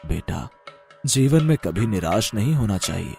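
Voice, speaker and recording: A man voicing a gentle, wise holy man in a moral-story cartoon, giving soothing, fatherly counsel. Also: lowish voice, big pitch swings, measured pace, gravelly, soft background music, exaggerated voice. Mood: consoling.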